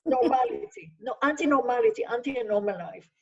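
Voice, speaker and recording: A woman's voice: fairly high pitch, lively intonation, a little fast. An older woman, a Hebrew speaker and sociologist, answering questions earnestly in an online masterclass, stressing points with lists and frequent restarts. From Israel. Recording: distant, dull lo-fi video call audio.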